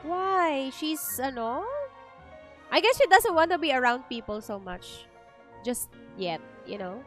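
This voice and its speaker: A woman game streamer with a Filipino accent and a fairly high voice. She comments casually as she plays, slipping between two languages, with music audible behind her. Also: animated, a little slow. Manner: code-switches between Tagalog and English.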